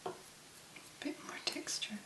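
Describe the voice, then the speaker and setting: A woman doing a soft-spoken ASMR demonstration in a gentle, breathy, unhurried murmur with pauses. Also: echoey room. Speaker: ASMR video creator.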